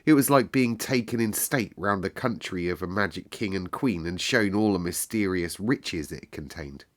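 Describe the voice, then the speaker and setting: A man with a fairly low voice reads a classic children's story aloud. He tells it expressively, as a storyteller would for young listeners. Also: normal speed. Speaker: reader of a children's novel.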